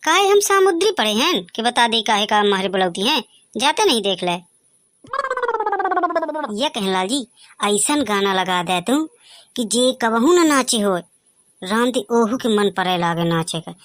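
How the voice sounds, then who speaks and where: Guy putting on high boyish village lad from a bagheli cartoon, pushy and argumentative, dry close sound. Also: expressive, measured pace, long pauses, fairly bright tone, no echo. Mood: excited, bossy. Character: brash, cajoling young village man at a wedding. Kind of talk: dialect comedy cartoon voice acting.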